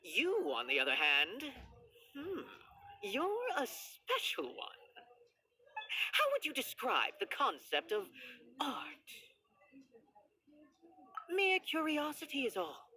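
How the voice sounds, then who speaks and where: A woman's voice: high-pitched, huge pitch swings, slow, pauses often, pushed and bright, slight background noise, exaggerated voice. A woman voicing an aloof, condescending, philosophical theater writer in a video game, haughty, musing and formal. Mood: appraising, haughty. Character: aloof, condescending, philosophical theater resident who pens dialogue. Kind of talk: video game character dialogue.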